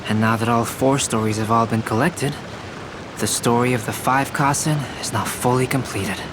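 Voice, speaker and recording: A thoughtful young painter played by a man speaks politely and with measured care. It is a gentle game character, with clear rainy noise behind. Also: medium pitch, close to an everyday voice. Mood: earnest, calm. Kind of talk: video game voice acting. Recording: clear rain-like ambience behind.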